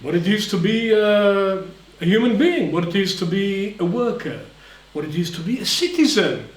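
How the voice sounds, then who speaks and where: A man giving a live lecture in short emphatic phrases, pausing for an interpreter. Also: high-pitched, expressive. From Israel. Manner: emphatic, speaks in short phrases, acts out voices. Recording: echoey hall, voice from far off.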